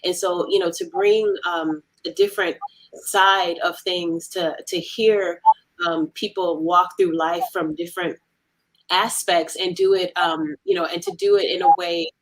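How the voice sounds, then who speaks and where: A woman being interviewed about her team's work, talking casually in earnest, halting phrases. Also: fairly low pitch, poor audio. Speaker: audio drama team member being interviewed.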